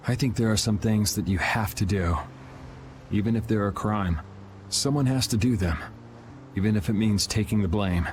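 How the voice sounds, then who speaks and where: A man playing a calm, blunt young vigilante swordsman in a video game, laid-back and matter-of-fact. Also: lowish voice, normal speed, a little hiss or hum, close to an everyday voice. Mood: grave, resolute.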